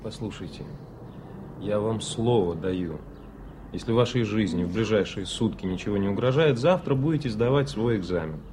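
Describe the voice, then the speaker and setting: A man's voice: fairly low pitch, expressive, normal speed, close to an everyday voice. Guy acting bossy fed-up doctor in old soviet radio play, clipped urgent talk, bit of hiss. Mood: exasperated, persuading. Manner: brusque, urging, clipped professional speech. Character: male doctor, insistent, exasperated, brusque but caring. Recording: faintly noisy archive radio play recording.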